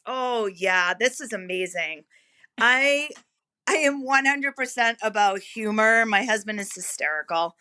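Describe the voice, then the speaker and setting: A middle-aged woman with a bright, forward voice tells stories as a podcast guest. She talks in a relaxed, chatty way, laughs often, and delivers her anecdotes with lively energy. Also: mid-range voice. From Manchester, New Hampshire. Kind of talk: casual podcast conversation.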